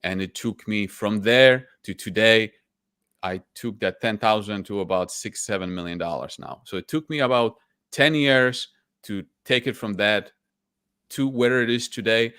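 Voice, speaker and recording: Guy in his 40s, lowish voice, dry close sound, chatting candid about his path, lots of restarts. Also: measured pace, fairly bright tone, smooth, dry recording. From Poland. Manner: candid and reflective, with frequent restarts. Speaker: guest, stock investor. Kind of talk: casual interview storytelling.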